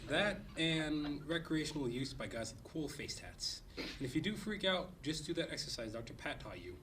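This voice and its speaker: A man's voice: a little high, expressive, moderate pace, fairly bright tone, distant mic, a little hiss or hum. A man playing a supportive, easygoing young boyfriend in a comedy table read, talking reassuringly in an everyday voice.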